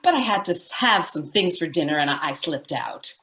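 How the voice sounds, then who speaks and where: A woman's voice: sits low, animated, a little fast, a bit bright, roomy sound. A woman playing a polite, chatty housewife and mother in an old-time radio drama.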